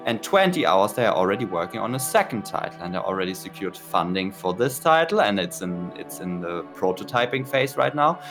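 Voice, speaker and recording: A man's voice: lowish voice, a bit bright. A German man with a German accent, an indie game developer talking in a casual interview, hesitant and full of fillers and restarts. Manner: hesitant, many fillers and restarts, laughs at himself.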